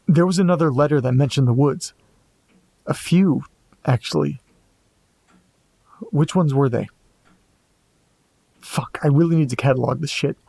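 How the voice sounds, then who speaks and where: A man playing an anxious amateur investigator in a horror audio drama, muttering hesitantly to himself in a gravelly voice. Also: medium pitch, mellow, dry recording, exaggerated voice, low-quality recording. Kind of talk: audio drama monologue, reading and musing aloud.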